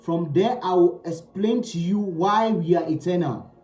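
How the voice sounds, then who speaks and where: A man's voice: sits high, a little slow, a little mellow, reverberant. A man giving a spiritual teaching talk. He speaks emphatically, repeating key phrases and asking rhetorical questions.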